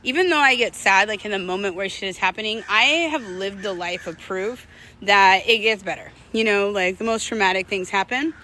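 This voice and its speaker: Female vlogger, bright and clear-cut tone, casual confessional delivery with slangy, reflective phrasing; faint vehicle-like noise behind. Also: medium pitch, brisk. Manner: candid, reflective, conversational slang. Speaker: vlogger telling personal stories to viewers.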